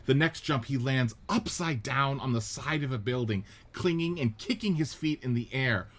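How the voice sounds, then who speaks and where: A man narrating the stage directions of a radio play script in a steady, descriptive reading. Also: mid-range voice, moderate pace.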